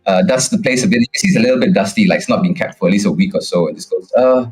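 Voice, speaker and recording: A man narrating a tabletop game as game master, improvising in a halting way. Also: low-pitched, faint music behind.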